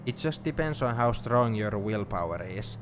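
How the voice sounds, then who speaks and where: Male actor as sentimental young best friend, low voice, intimate roleplay delivery, teasing but sincere, car noise behind. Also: expressive, moderate pace, lightly acted. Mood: nostalgic, reflective. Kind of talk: intimate ASMR audio roleplay. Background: car ambience.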